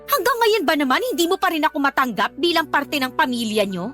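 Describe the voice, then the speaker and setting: High-pitched, bratty, sassy young girlfriend. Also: expressive, a little fast, fairly bright tone, faint music behind. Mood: defensive, bickering. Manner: sassy, theatrical, slangy. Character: brash, vain, whiny young live-in girlfriend, quick to bicker. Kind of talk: Tagalog radio drama acting.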